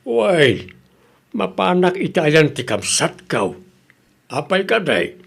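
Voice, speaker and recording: A man's voice: fairly high pitch, very animated, takes it slowly, vocal fry, a bit bright, breathy edge, full character voice. A man with an Ilocano Filipino accent playing a nagging, cautious rural husband who questions and admonishes in a radio comedy drama. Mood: concerned.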